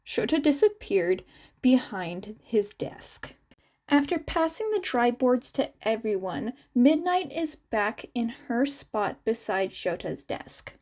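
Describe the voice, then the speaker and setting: A woman's voice: fairly high pitch, very animated, slow pace, darkish tone. A woman reading a story aloud as scripted narration. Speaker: podfic narrator.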